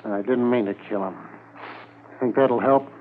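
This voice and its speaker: An actor in a 1950s radio drama playing a tired, sneering wounded bank robber under arrest. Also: male voice, mid-range voice, measured pace, natural voice. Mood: weary, brooding. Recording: lo-fi 1950s radio transcription, faint hiss.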